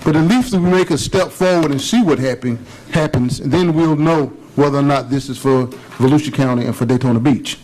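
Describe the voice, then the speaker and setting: A man's voice: mid-range voice, a little fast, few pauses, darkish tone, rough recording. A man serving as city commissioner, speaking earnestly and emphatically at a public meeting. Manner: earnest, emphatic, plain-spoken.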